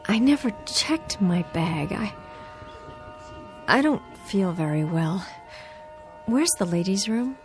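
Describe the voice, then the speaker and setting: An actress playing an anxious, bewildered young woman in her twenties in a radio drama, halting and defensive as she speaks. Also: mid-range voice, animated, soft background music. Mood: distressed. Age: 20s. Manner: halting, stammering. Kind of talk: dramatic radio play acting.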